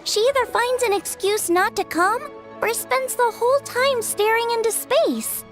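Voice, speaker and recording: A woman's voice: very high voice, a little slow, soft background music. A woman playing a sweet, caring young girl in an English video game dub, with a youthful, earnest, friendly delivery. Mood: concerned. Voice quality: youthful, girlish.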